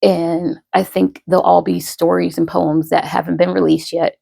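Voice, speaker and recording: A woman in her thirties talks casually to her followers, rambling and restarting her sentences. Her voice sits a little low and is thick with gravelly fry. She speaks very slowly into a close microphone with a dry, dead sound. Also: level tone, dark tone, no echo. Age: 30s. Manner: slow, rambling, many fillers and restarts. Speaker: poet and podcaster.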